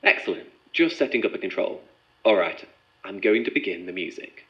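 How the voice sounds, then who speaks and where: A man's voice: sits low, very animated, brisk. An actor playing a composed, methodical male researcher in an audio drama, speaking in a clinical, probing, precise way. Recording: muffled sound with music behind.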